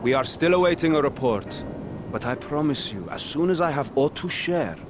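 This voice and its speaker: An actor playing a satrap who addresses his people with formal, measured reassurance in a game cutscene. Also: on the high side, lively intonation, noisy background, natural voice. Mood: solemn. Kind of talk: video game cutscene acting. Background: orchestral music.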